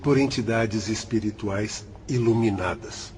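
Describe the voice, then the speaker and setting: A Brazilian man playing an earnest spirit instructor in a radio drama, with a measured, solemn, declamatory delivery. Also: fairly low pitch, a little mellow, a little hiss or hum, natural voice. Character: earnest male spirit instructor praising heroic rescuers. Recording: music under the voice.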